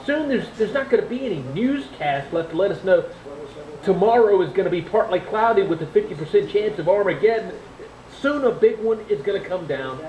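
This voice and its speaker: A woman's voice: mid-range voice, expressive, brisk, warm tone, natural voice. A woman playing an irritable, paranoid, sardonic doomsayer with a curt manner in a radio drama. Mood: doom-laden, sardonic. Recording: echoey room playback.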